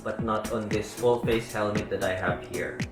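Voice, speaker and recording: A man's voice: fairly low pitch, steady tone, moderate pace. A man with a Filipino accent gives a casual unboxing commentary, chatting in mixed English and Tagalog and often tagging his sentences with "no?". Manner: chatty Taglish with frequent "no?" tags. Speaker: motovlogger. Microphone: small handheld camera mic. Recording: echoey room. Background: background music.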